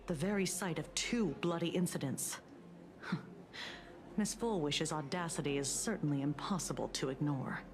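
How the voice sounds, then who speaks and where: A woman's voice: sits low, normal speed, background noise. An actress playing a sardonic, composed female police detective in a video game, dry and teasing. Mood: pensive. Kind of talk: dramatic video game dialogue.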